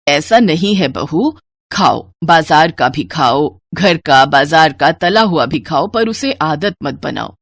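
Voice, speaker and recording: A woman's voice: low voice, animated, slow pace, no room sound, studio quality, pitched below the performer's own voice, heavily acted. A woman voicing a caring but long-suffering older Indian mother-in-law in a moral story, admonishing and explaining. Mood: gentle advice. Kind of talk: dramatised Hindi moral story.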